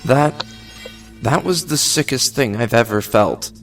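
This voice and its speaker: Ensign: nervy and excitable, medium pitch, slightly distant from mic, music behind, sci-fi audio drama. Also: male voice, a little slow. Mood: alarmed. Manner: excitable, worried. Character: jittery, anxious male starship ensign. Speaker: voice actor.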